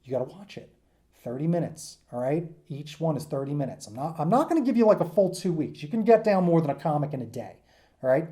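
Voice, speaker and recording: A man's voice: on the high side, expressive, fast talker, warm tone. A man giving an informal lecture to students in an enthusiastic, emphatic, rambling conversational way, as their teacher.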